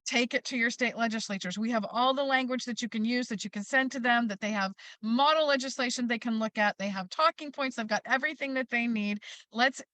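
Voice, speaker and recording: A woman's voice: a little high, fairly quick, no echo. A middle-aged American woman speaking as an interview guest, making an emphatic advocate's case and acting out quotes as she tells stories. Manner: emphatic, animated storytelling with acted-out quotes. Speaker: interview guest, parents' advocacy group leader. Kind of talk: passionate advocacy talk in an interview.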